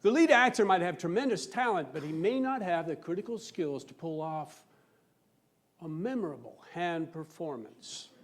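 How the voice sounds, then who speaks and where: A man with a notably high voice delivers a humorous storytelling speech on stage. He boasts with a straight, mock-serious air and leans on theatrical pauses for effect. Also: expressive. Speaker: speech contest competitor telling a comic tall tale. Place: live on stage before a laughing audience.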